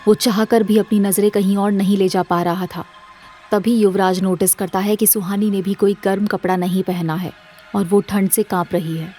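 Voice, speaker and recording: A woman's voice: medium pitch, fairly even intonation, moderate pace, dark tone, faint music behind. A woman narrating a scripted audio drama as its storyteller.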